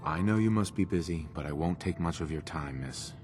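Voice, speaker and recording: An actor playing a determined, polite survivor in a video game, speaking in an earnest, serious manner. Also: male voice, low voice, fairly quick, over music, close to an everyday voice. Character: determined, polite male survivor seeking his kidnapped son.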